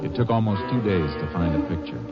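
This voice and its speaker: An actor playing a shrewd, terse Texas Ranger in a 1950s radio drama, speaking matter-of-factly. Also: male voice, deep voice, expressive, fast talker, loud music behind the voice. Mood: steady, shrewd. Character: steady, shrewd Texas Ranger investigator, adult man. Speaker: lead actor and narrator. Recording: muffled vintage radio recording.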